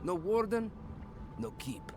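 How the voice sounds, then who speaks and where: A man's voice: high-pitched, big pitch swings, slow, background noise, heavily acted. A man voicing a pompous, pedantic, unwelcoming gatekeeper who quotes rules in a video game. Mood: dismissive. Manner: pompous, rule-quoting. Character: officious male gatekeeper, pedantic, gruffly unwelcoming. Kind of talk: video game cutscene acting.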